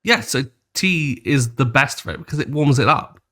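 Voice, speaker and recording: A man's voice: medium pitch, lively intonation, moderate pace, bright, forceful tone. A British man co-hosting a podcast, chatting casually and teasing his co-host with jokes and enthusiasm. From United Kingdom. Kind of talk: casual chat.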